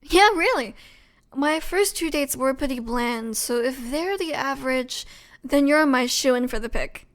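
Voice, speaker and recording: A woman voicing a friendly, eager, ghostly alien on a speed date in an audio roleplay, brisk and earnest. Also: high voice, animated. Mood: intrigued, eager. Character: friendly, eager, sweet semi-tangible female ghostly alien.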